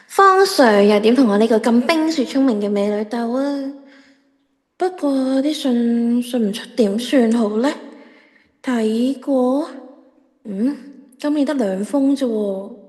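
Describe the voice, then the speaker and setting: A woman acting a high-pitched cheeky schoolgirl. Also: measured pace. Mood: smug, pondering. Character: cheeky, defiant, dramatic teenage schoolgirl. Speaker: voice actor in an audio drama. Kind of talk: Cantonese radio drama acting.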